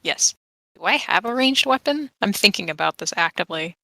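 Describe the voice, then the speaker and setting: A woman's voice: mid-range voice, expressive, brisk, creaky, pushed and bright, no echo. A woman playing a tabletop game, chatting casually in a wry, rambling way.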